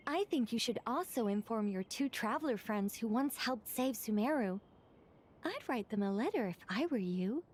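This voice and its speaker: An actress playing a mysterious, composed female guide in a video game, speaking in a measured, foreboding manner. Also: fairly high pitch, darkish tone. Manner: measured, knowing.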